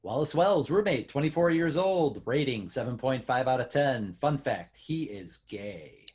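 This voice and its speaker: A man's voice: medium pitch, animated, a little slow, darkish tone. A man announcing scene headings and character captions in scripted narration.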